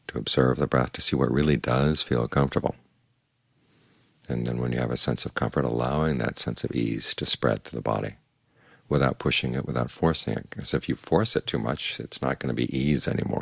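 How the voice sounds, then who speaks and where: An older man gives an evening meditation talk with calm, measured patience. His voice sits extremely low, with a gravelly, husky grain. The sound is close and dry, with no room around it. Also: dry recording. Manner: calm, measured, plain-spoken teaching. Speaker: Buddhist monk giving a Dhamma talk.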